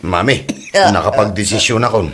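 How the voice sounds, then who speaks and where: A man's voice: fairly low pitch, normal speed, a bit bright, a little hiss or hum, close to an everyday voice. A man acting as a flustered, henpecked married son in a comedy drama, stammering and pleading hesitantly to keep his mother happy. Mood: pleading, earnest.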